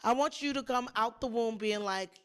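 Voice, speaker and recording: A woman hosting a podcast, a little hoarse, chatting and telling a story straight to her listeners with plenty of emphasis. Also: a little high, normal speed, fairly bright tone. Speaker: podcast host. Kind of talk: casual solo storytelling.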